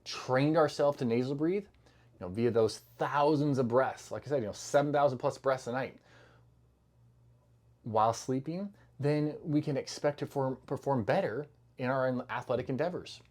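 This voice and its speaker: A man's voice: medium pitch, moderate pace, a little mellow. Middle-aged guy, clinician vibe, rambly casual health talk, lots of "you know"s. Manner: loose, conversational, many fillers and restarts. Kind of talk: casual educational talk to camera.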